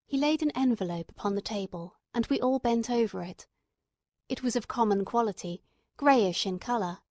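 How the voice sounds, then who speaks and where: A woman's voice: a little high, moderate pace, darkish tone, dry recording. Woman narrating an audiobook, very dry sound. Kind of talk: scripted literary narration.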